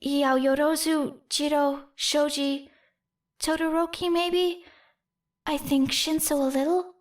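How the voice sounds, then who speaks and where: A woman voicing a shy, hesitant teenage boy with a soft, halting way of talking. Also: high-pitched, fairly even intonation, far from the mic, pitched above the performer's own voice.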